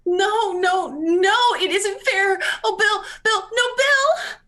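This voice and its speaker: A woman's voice: extremely high pitch, slow pace, sharp tone, exaggerated voice. A woman voicing a desperate, pleading mother in a radio play, wailing and repeating herself in anguish. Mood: tearful protest. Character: desperate pleading wife and mother, frantic and tearful. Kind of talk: amateur radio play acting.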